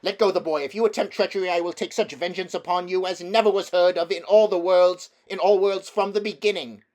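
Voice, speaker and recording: Guy doing a furious witch queen in a storybook, bossy n threatening, pitched up and loud. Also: steady tone, fairly quick, natural voice. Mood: imperious fury. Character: imperious wrathful witch queen, threatening and grand.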